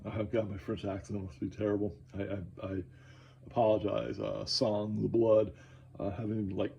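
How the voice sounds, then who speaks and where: A man talking casually while drawing, halting and enthusiastic, with many fillers and restarts, moving around his studio. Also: fairly low pitch, dark tone, slightly rough. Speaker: artist vlogging while drawing. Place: indoor studio, moves around the room.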